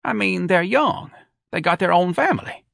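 Guy acting out a polite lonely old fella from an audiobook, plain talk, higher voice, kinda singsong. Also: expressive, moderate pace, vocal fry, fairly bright tone, no echo, clean studio sound, exaggerated voice. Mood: wistful.

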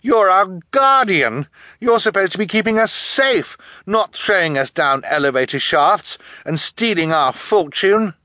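A man's voice: medium pitch, very animated, moderate pace, a bit bright, dry recording, clean studio sound, pitched above the performer's own voice. A man voicing an earnest, protective teenage orphan girl with a pleading, emphatic delivery in a storybook. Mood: horrified, indignant.

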